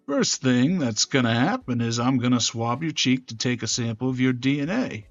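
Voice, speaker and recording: A man performing scripted dramatic dialogue from a visual novel in a measured, serious manner. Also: medium pitch, lively intonation, no echo, dull audio. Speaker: gaming channel creator voicing every character. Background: game music.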